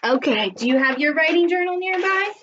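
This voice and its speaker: A woman's voice: extremely high pitch, animated, fairly quick, fairly bright tone, highs cut off. A woman primary school teacher speaking expressively and instructively to young children in simple phrasing, as in a read-aloud lesson.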